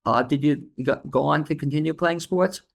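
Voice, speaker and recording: A man's voice: a little high, normal speed, gravelly. A man hosting a podcast interview, chatting in a loose, easygoing way with plenty of fillers. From New York City. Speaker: podcast host. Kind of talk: casual interview.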